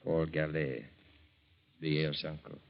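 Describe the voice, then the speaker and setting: An actor playing a cold, composed bearer of grim news in a 1940s radio drama, grave and measured. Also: male voice, a bass voice, little pitch movement, vocal fry, heavily acted. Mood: grim, insinuating. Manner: measured, grave, matter-of-fact. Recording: muffled old 1940s radio recording.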